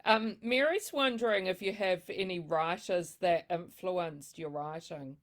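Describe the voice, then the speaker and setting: A woman with a lowish voice hosts an online book club chat, speaking slowly and unhurriedly. She mostly gives quiet acknowledgments and puts short, simple questions to her guest over a video call. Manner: brief soft backchannels, asks short questions. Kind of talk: interviewer on a live video chat.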